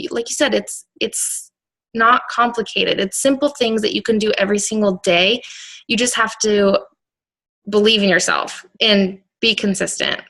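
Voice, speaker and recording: A woman's voice: medium pitch, fairly bright tone, low-quality recording. A woman coach speaking as a guest on a video team call, giving an upbeat pep talk in short, punchy directives.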